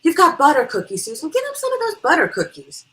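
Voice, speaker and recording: A woman voicing a chatty, excitable, nosy female schoolteacher from a small town in a storybook reading. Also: lowish voice, moderate pace. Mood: bossy. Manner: gushing, gossipy, stammers when flustered.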